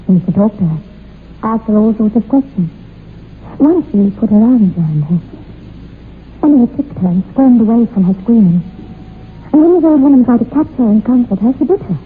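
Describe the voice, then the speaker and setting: Actress as troubled woman storyteller, South African accent, high voice, hesitant and earnest, radio horror drama, muffled lo-fi recording. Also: expressive, a little fast, pauses often, natural voice. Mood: grave, haunted. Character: troubled woman recounting her ancestor's dark witch-trial secret. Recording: muffled lo-fi old radio, faint noise.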